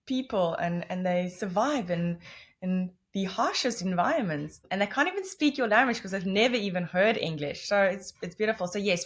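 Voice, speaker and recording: Namibian woman, Afrikaans native, interview guest; chatty, loose, self-correcting delivery, mid-pitched. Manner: rambling, self-correcting explanations with many restarts. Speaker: podcast guest. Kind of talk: conversational interview.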